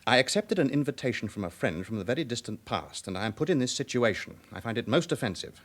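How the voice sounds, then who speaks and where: An actor playing a guarded, affronted gentleman banker, formal and British, in a radio crime drama. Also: medium pitch, big pitch swings, fast talker. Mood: indignant. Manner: formal, indignant.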